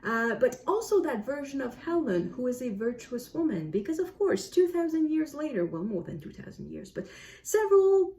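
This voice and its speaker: A woman with a French accent speaks in a fairly high, very soft-toned voice. She talks casually to viewers as a book reviewer, reflective and explanatory, revising her statements as she goes. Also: big pitch swings, normal speed, a little hiss or hum.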